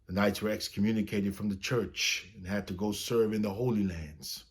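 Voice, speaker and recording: A man reading a children's story aloud as a storyteller, with expressive, dramatic phrasing. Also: on the low side, normal speed, breathy edge.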